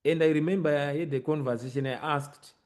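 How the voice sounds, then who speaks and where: A man's voice: fairly high pitch, steady tone, fairly quick, a little mellow. A man with a Zimbabwean accent hosting a conversational podcast discussion about everyday matters. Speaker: host.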